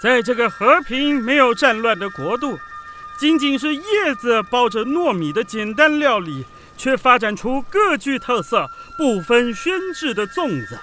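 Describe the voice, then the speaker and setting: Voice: mid-range voice, big pitch swings, unhurried, sharp tone, soft background music. An actor playing a pompous, sentimental ancient male poet as a contest judge in a children's radio drama, speaking grandiloquently. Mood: tearfully moved. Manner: grandiloquent, savoring, prone to tearful rapture.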